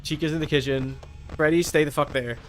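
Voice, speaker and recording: A man's voice: a little high, steady tone, a little fast, soft background music. A man chatting casually as he streams a game, with a blunt, sweary, argumentative way of talking. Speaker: livestream host. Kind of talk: casual gaming stream chat while playing a horror game.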